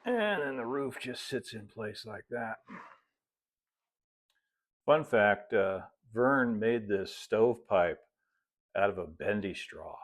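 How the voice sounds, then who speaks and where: A man, narrating a hands-on hobby tutorial in a relaxed, folksy way, as if thinking aloud while he works. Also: lowish voice, slow, long pauses. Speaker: hobbyist presenter of model railroad build videos.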